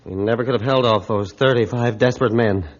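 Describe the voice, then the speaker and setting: A man's voice: medium pitch, a little fast, close to an everyday voice. An actor playing a stern, steadfast male ship captain in a 1950s radio adventure drama. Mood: calm, gracious. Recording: dull lo-fi 1950s radio recording.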